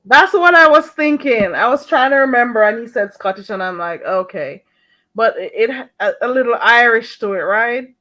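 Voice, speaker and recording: A woman's voice: on the high side, expressive, moderate pace, sharp tone, low-quality recording. A woman hosting a casual chat, friendly, asking long rambling questions. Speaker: host.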